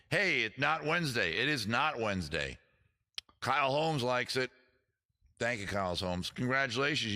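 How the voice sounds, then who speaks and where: A man with a raspy voice hosting a casual livestream show, chatting quickly and loosely with viewers in the chat. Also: mid-range voice, bright, forceful tone. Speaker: livestream music show host.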